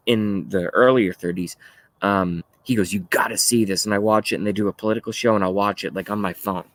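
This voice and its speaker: A man of thirty-five hosting a livestream, talking casually and haltingly as he rants and reads out chat messages, with some swearing. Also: medium pitch, no room sound. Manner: rambling, halting, often swearing. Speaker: livestream host. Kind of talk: casual political rant while reading live chat.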